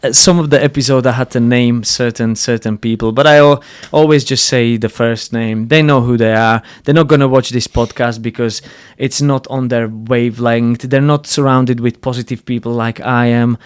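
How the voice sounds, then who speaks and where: A podcast host speaks English with a Slovak accent at a mid pitch. He talks bluntly and earnestly, often restarting his phrases, and he is not shy about swearing. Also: fairly even intonation, normal speed, hardly any pauses, smooth. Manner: blunt and earnest, frequent restarts, salty language. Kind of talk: casual interview conversation.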